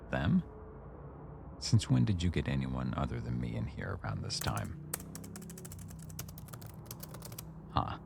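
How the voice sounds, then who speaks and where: A man playing a rough-edged mafia boss who owns a cafe, teasing, probing and quietly threatening, in a boyfriend ASMR roleplay. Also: a bass voice, noisy background, full character voice. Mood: smug, dismissive. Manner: teasing, probing, smugly self-assured.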